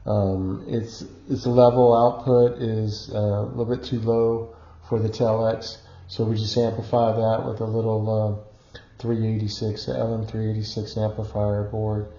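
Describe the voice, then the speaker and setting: A man giving an unscripted technical demonstration, explaining in a halting, hesitant, improvised way. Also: lowish voice, flat delivery, warm tone. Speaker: electronics hobbyist demonstrating equipment. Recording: roomy echo, dull muffled sound.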